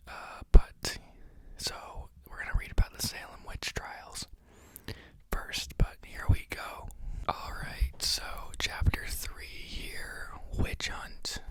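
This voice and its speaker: Soft whispering ASMR book reader. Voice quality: whispered throughout. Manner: reads aloud with stumbles and restarts, adds short personal asides. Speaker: ASMR channel host.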